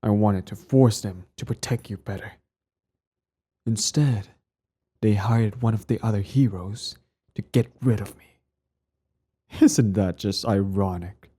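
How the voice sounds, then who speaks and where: Man acting a husky, dark-voiced sardonic villain. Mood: intense, protective. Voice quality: low, husky, breathy. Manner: slow deliberate delivery, sardonic, condescendingly affectionate. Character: cold, mocking male villain, protective ex-hero, secretly tender. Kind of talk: intimate ASMR audio roleplay monologue.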